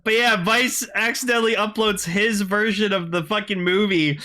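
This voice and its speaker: A man co-hosting a casual pop-culture podcast, talking like an excitable, sweary fan. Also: high voice, normal speed. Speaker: podcast co-host.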